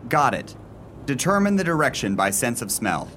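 A man's voice: mid-range voice, sing-song, moderate pace, a bit bright, slight background noise. A young man playing a hesitant, doubtful college trainee in a radio play, polite and wary.

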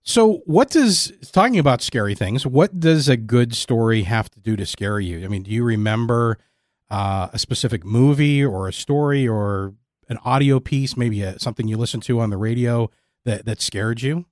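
A man interviewing a guest conversationally, speaking in a thoughtful, measured way. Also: mid-range voice, a little mellow, no echo, studio quality. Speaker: podcast host, interviewer.

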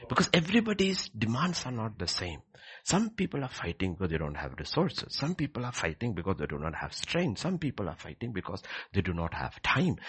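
A male preacher delivers an emphatic sermon in a low, husky voice. His pitch moves widely as he swings between hushed lines and loud, forceful peaks, repeating key phrases to drive them home. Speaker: preacher leading a prayer service.

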